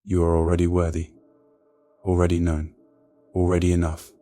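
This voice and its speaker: Male devotional narrator with a very low voice, soft and soothing, for a scripted sleep prayer. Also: completely flat delivery, normal speed, soft background music. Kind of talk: scripted bedtime prayer meditation.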